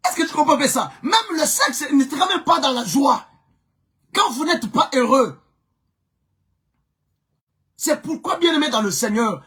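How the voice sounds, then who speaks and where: A male Congolese pastor whose first language is Chokwe preaches in French with a strong accent. His voice is strikingly high and sharp, and he keeps shouting and repeating lines for emphasis. Soft music plays faintly behind him. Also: slightly rough. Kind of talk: live-streamed preaching sermon.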